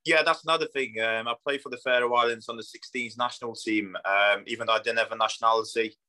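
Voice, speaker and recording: A man's voice: medium pitch, steady tone, fast talker, pushed and bright. A Polish man in his thirties, a native Polish speaker and footballer, chatting casually and matter-of-factly in an interview, his accent a mix of Polish, Scandinavian and northwest English. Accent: Polish mixed with Scandinavian and northwest English. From Poland. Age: 30s.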